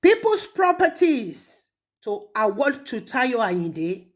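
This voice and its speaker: Woman, Nigerian Yoruba accent, high voice, very animated intonation, scolding, emphatic, pointed pauses. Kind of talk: impassioned public rebuke, switching between languages.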